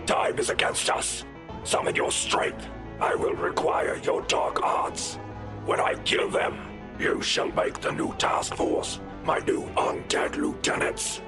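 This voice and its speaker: A man performs a menacing warlord king for an audio drama. The voice is a harsh, breathy growl with almost no clear pitch, commanding and grandiose. Loud music plays underneath. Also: lightly acted. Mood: grim resolve. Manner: grandiose, imperious, slow pronouncements. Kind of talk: audio drama villain acting.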